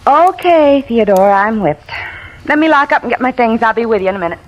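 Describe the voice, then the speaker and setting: A woman's voice: fairly high pitch, expressive, fairly quick, lo-fi sound, lightly acted. An actress playing a wry, tired, plucky young working woman in an old radio drama, with plain-spoken delivery. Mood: wry, teasing. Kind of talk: 1940s-50s radio drama acting, casual banter. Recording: vintage radio drama, faint music.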